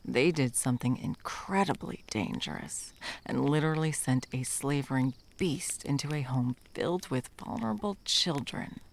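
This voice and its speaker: A woman's voice: a bass voice, expressive, moderate pace, vocal fry, slight background noise, exaggerated voice. A woman playing a wry, menacing monster hunter who is sadly in love, in intimate ASMR roleplay with a theatrical, sardonic delivery that dips into whispers. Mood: grim, pointed.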